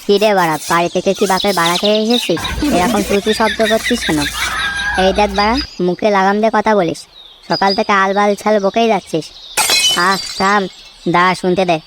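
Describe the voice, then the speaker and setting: A woman's voice: mid-range voice, steady tone, brisk, heavy background noise, natural voice. A woman voicing foul-mouthed, bickering young village lads in a comedy cartoon, crude and slangy. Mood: irritated, crude. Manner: crude, slangy, cursing constantly.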